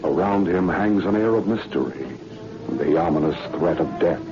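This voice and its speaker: A man's voice: deep voice, fairly even intonation, moderate pace, over music, close to an everyday voice. A male actor with an American accent narrating a 1940s radio spy serial in a dramatic, portentous manner, on a muffled old recording. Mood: ominous, dramatic.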